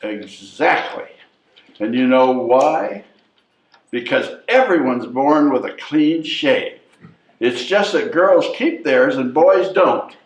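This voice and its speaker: Bossy, cocky newborn baby played by a man, lively swings in pitch, distant echoey stage recording. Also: slow. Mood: insistent. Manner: bossy, know-it-all. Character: cocky, chatty newborn baby, sure of being a girl. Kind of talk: readers theatre comedy performance.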